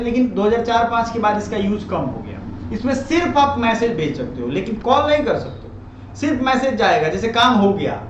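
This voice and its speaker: A man gives an informal explanatory lecture as a teacher, speaking colloquially and often checking that listeners follow. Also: sits high. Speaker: teacher explaining current affairs. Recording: dull, noisy, echoey room recording.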